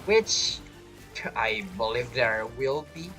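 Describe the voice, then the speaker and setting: Animated man rambling over gameplay. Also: mid-range voice, slow pace. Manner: rambling, musing. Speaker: game streamer. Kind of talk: casual gameplay commentary, thinking aloud. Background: faint game music.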